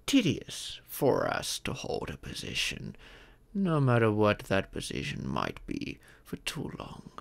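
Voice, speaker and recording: A man plays an immortal old dragon father in an ASMR roleplay. The voice crackles with gravelly fry and moves slowly, with extremely animated rises and falls. He speaks formally, like a patient, fond teacher who can be stern. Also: mid-range voice, full character voice. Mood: calm, instructive. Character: ancient immortal male dragon, former king, doting stern father. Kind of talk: ASMR roleplay, scripted monologue.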